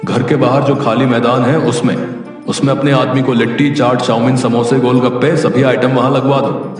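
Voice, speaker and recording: Cartoon miser played by a man: wily, bossy rich businessman, mid-pitched, smug, heavy echo. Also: flat delivery, normal speed, close to an everyday voice. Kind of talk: cartoon story dialogue. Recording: very echoey, distant, music underneath.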